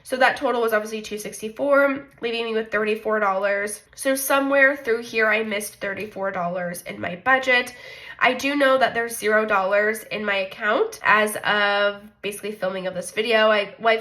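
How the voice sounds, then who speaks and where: A woman's voice: fairly high pitch, pushed and bright. A young woman with a congested, slightly nasal voice, chatting casually and self-deprecatingly as she walks through her monthly budget. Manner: chatty, self-deprecating, thinks aloud with frequent likes. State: congested from a cold. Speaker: budgeting vlogger.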